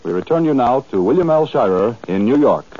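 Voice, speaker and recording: Male radio network announcer, formal measured 1940s delivery, dull lo-fi broadcast sound. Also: mid-range voice, lively intonation, mellow.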